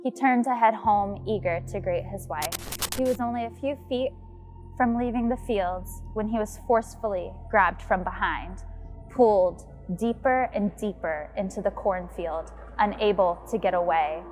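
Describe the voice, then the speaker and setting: A woman's voice: medium pitch, unhurried, a little mellow. A woman reading a scripted horror story as its narrator. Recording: faint music behind the voice.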